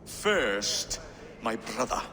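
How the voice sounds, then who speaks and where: A man's voice: mid-range voice, sing-song, heavily acted. A man voicing a stern, defiant and threatening medieval king in a video game. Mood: cold defiance. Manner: deliberate, defiant. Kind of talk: video game drama acting. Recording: reverberant hall under loud music.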